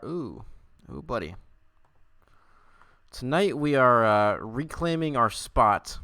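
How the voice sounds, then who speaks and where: A man's voice: medium pitch, a bit bright, no echo. A man hosting a livestream with casual chat, rambling, self-amused and casually profane. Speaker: livestream host.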